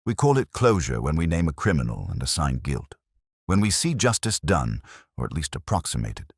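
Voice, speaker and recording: A man voicing a brooding, coolly logical Victorian detective in an audiobook, speaking gravely and deliberately. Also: a bass voice, big pitch swings, no room sound, studio quality, full character voice. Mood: brooding, reflective.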